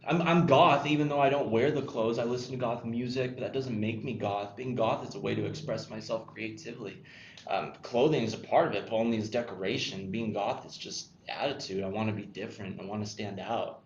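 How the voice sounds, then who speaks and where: A man's voice: on the low side, fairly even intonation, fairly quick, natural voice. Man reading as earnest teenage goth boy, echoey room. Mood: open, proud. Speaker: actor at a screenplay table read. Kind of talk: screenplay table read acting. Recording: echoey room, dull lo-fi, faint noise.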